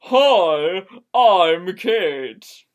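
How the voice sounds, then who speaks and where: A woman voicing a blunt, loud, jealous young woman in an amateur dramatic story reading. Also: fairly low pitch, animated, drawn-out words. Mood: demanding.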